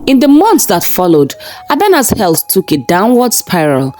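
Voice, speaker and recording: A woman narrates a scripted dramatic folktale in a measured, expressive storytelling style. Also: medium pitch. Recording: narration over background music. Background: background music.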